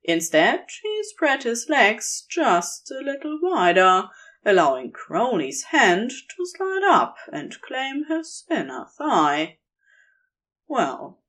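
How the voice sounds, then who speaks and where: A woman narrating romantic fiction from a script as a storyteller. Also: a little high.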